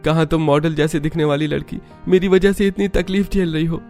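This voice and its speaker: A man's voice: a little high, steady tone, a little fast, darkish tone, soft background music, natural voice. A man voicing a caring, apologetic young Indian husband in a Hindi cartoon story. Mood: regretful.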